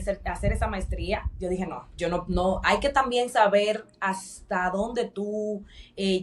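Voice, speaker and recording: A woman's voice: mid-range voice, far from the mic. A woman with a Dominican Spanish accent telling her personal story as a podcast guest, emphatic and repeating phrases for weight. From Dominican Republic.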